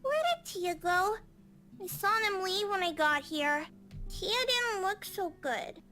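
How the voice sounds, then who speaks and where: A man voicing a curious, excitable little girl who rushes her questions, with faint game music behind. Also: very high voice, voice pushed up, full character voice. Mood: worried. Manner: chirpy, breathless, rushing questions.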